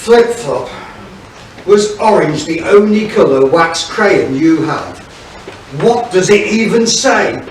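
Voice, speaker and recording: A man's voice: high-pitched, animated, takes it slowly. A man playing a scheming, mocking sheriff villain in theatrical pantomime style. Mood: impatient. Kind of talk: stage pantomime acting. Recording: echoey room, distant mic, hiss.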